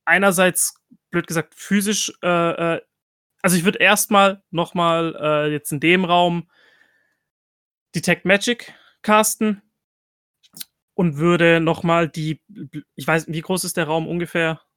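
Higher-voiced man thinking out loud. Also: steady tone, a little slow, no echo, studio quality. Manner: thinks aloud, explains reasoning. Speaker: tabletop game player. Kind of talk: casual game-table talk.

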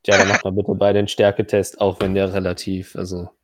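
A man narrating a tabletop role-playing game as game master, improvising in a halting, conversational way. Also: sits low, completely flat delivery, a little hiss or hum.

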